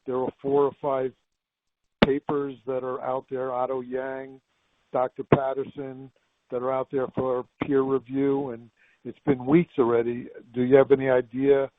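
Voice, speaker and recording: Even-toned man calling over a phone line. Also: mid-range voice, no echo. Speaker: caller asking questions. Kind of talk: conversational question on conference call. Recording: dry, narrow phone line.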